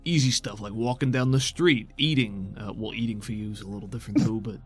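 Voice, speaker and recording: A man's voice: lowish voice, fairly even intonation, fairly quick. Folksy man roleplaying, music behind. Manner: folksy, casual phrasing. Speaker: game master of a tabletop role-playing show. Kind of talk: improvised in-character roleplay with narration.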